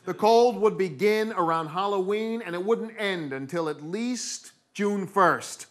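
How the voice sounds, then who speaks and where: A man's voice: sits high, measured pace. A middle-aged American man performing theatrical comic storytelling on stage, acting out moments with dramatic delivery. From New England, United States.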